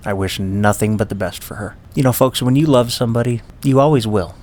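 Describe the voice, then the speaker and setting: A man's voice: medium pitch, brisk, darkish tone. A man narrating his own life story in an earnest, heartfelt way, speaking directly to his listeners. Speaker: podcast host. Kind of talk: reflective personal narration.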